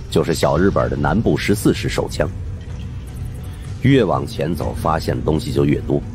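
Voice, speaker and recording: A man with a low, deep voice narrates a horror suspense novel like a storyteller. His pitch moves widely as he builds each scene, with music underneath. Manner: storytelling, expressive. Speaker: audiobook narrator.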